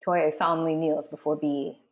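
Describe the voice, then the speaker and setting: A woman's voice: low-pitched, level tone, normal speed. A woman hosting as a voice director, explaining scenes and reading out stage directions. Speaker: host and voice director.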